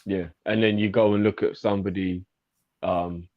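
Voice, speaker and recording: A man's voice: low-pitched, steady tone, normal speed, no echo. A man in a casual call-in chat, using slang like bro and laughing often. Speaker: fan channel co-host. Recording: dry, dull lo-fi mic.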